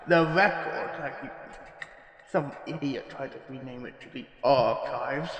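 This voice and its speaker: A man playing a jaded, scornful archivist in a parody audio drama, halting and dismissive as he records case statements. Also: mid-range voice, a bit bright. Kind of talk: parody audio drama monologue, mock-formal narration. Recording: echoey room with long reverb.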